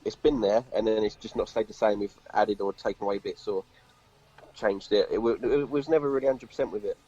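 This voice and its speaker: A man's voice: lowish voice, fairly even intonation, brisk, dark tone. A man chatting casually in a band interview, on dull lo-fi audio. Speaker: band member being interviewed.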